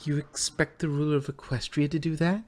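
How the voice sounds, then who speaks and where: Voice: mid-range voice, level tone, a little fast, darkish tone, a little hiss or hum, close to an everyday voice. A performer reading the part of a weary, skeptical young pony princess in a dry, exasperated manner. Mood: startled, exasperated. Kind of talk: character voice in a fanfiction reading.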